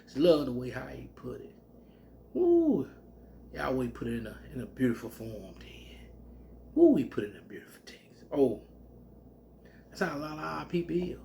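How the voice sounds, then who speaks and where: Country preacher guy, gravelly voice, whoops a lot, bit of hiss behind. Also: medium pitch, normal speed, pauses often. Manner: animated, bursts into loud whoops; colloquial Southern speech. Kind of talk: informal scripture teaching.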